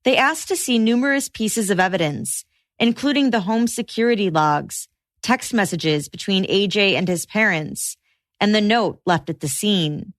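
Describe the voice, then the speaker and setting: A woman hosting a podcast, reading scripted true crime narration in a measured, matter-of-fact way. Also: medium pitch, animated, no echo.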